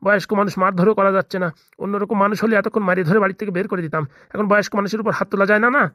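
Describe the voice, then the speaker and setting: A man's voice: high voice, steady tone, racing, bright, forceful tone, no room sound, studio quality. A man voicing a blunt, self-important small-time gangster boss in a comedy cartoon, bossy and businesslike with a Bengali accent. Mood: grumbling. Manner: bossy, businesslike, repeatedly seeking agreement.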